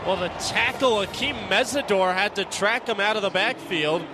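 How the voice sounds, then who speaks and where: A man's voice: high-pitched, moderate pace, background noise. A man giving conversational color commentary as an analyst on a football broadcast.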